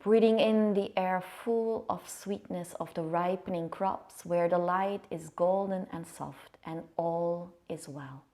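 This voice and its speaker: A woman yoga nidra teacher giving soft guided meditation in a hushed, soothing, unhurried manner. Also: on the low side. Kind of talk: guided meditation, chanted singing then soft spoken guidance. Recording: music behind the voice. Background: meditative music.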